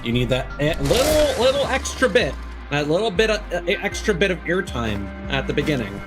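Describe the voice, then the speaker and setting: A man's voice: a little high, sing-song, brisk, over music. A man giving live gameplay commentary, thinking aloud and correcting himself in an exasperated, complaining way. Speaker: game streamer.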